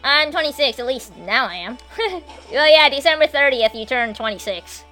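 Bright, high-voiced young woman streaming. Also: normal speed. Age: 20s. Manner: foul-mouthed, excitable. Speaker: live game streamer. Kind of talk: casual gameplay commentary and chat with viewers. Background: video game music and sound.

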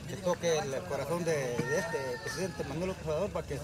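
A man's voice: on the high side, brisk. A tired middle-aged man from Honduras, interviewed outdoors at night on a handheld mic, speaking earnestly and pleadingly. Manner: earnest, pleading, repeats "va" as a filler. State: tired and worn out. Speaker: migrant interviewed on the street. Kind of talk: street interview. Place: outdoors at night by a river border crossing. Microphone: handheld reporter mic.

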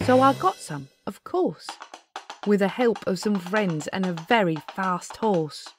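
A woman narrating a rhyming children's story aloud in an expressive, deliberate, rhythmic way. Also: medium pitch. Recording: music behind the voice. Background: music.

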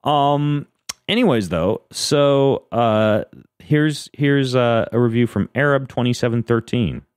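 A man hosting a podcast in casual chat, rambling through lists with a fairly even, monotone delivery. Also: mid-range voice, slow, smooth, dry recording, studio quality. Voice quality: self-described monotone. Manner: rambling, lists things off, frequent fillers and restarts. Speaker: podcast host.

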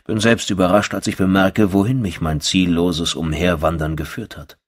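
A man narrating a first-person horror audiobook in a brooding, terse, dry manner with a husky voice. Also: sits low, steady tone, moderate pace.

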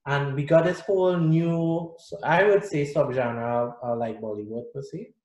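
A man's voice: a little high, moderate pace. Trinidadian man in an echoey room. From Trinidad and Tobago. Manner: animated, gestures with hands while explaining. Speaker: guest musician. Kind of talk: casual interview talk. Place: small room indoors. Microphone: video call webcam. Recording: echoey room on video call.

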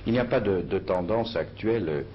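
A man interviewing a guest on the radio, asking measured and polite questions. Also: on the low side. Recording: dull old radio archive with faint hiss.

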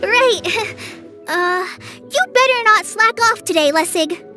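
A woman's voice: extremely high pitch, lively intonation, takes it slowly, music in the background, exaggerated voice. A woman playing a kind, shy, helpful teenage girl in a video game. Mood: hesitant, teasing.

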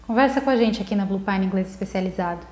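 A woman's voice: mid-range voice, fast, a little mellow. A young woman with a Brazilian accent giving an informal language lesson to camera, conversational and stressing her example phrases. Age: young adult. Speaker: English teacher, video host. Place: living room at home, indoors. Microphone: lapel mic clipped to top. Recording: roomy indoor echo.

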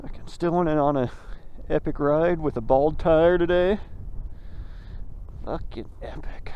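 A man's voice: on the high side, unhurried, clean tone, highs cut off. A young man on a motorcycle, joking and swearing as he talks through a ride on a mountain road, recorded by a helmet camera. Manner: profane, joking, rambling. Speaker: motovlogger. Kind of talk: casual helmet-cam commentary while riding. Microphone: helmet camera mic. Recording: wind and engine noise behind.

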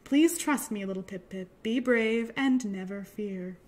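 A woman voicing a gentle, reassuring mother penguin in a storybook, tender and coaxing. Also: medium pitch, measured pace, lightly acted. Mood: soothing. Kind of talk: children's storybook read aloud.

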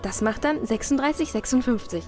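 A woman playing a young, brisk, officious cinema counter clerk who rattles off sales jargon in a comedy cartoon sketch. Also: a little high, lively intonation, over music, close to an everyday voice. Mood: deadpan. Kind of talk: comedy audio sketch acting.

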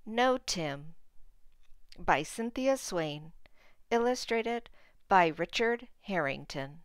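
A woman reading a storybook aloud as a teacher for learners, in deliberate, simple phrases. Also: on the low side, animated, clear, resonant tone, dry recording, studio quality.

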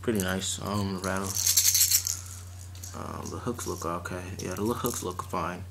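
A young man in his late teens chatting casually and haltingly as he presents items to a camera at home. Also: deep voice, fairly even intonation, vocal fry, over music. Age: teens to young adult. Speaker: vlogger showing fishing tackle. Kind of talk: casual unscripted unboxing chat. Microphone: camera or phone mic at arm's length. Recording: noisy amateur recording with clicks and rustling.

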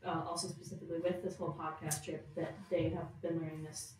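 A woman's voice: low-pitched, level tone, fast talker, darkish tone. A teenage girl from Nova Scotia with a Canadian accent, talking in a rambling, casual way as a podcast co-host. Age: teens. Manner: rambling, frequent "like". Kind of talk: casual discussion. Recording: very echoey room, distant mic, faint noise.

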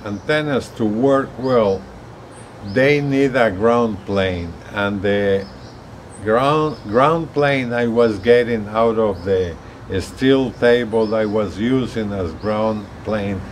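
A man in his sixties giving a casual explanatory vlog talk outdoors, haltingly, often repeating words. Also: lowish voice. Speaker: gadget reviewer. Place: outdoors on an apartment balcony. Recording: steady outdoor ambient noise.

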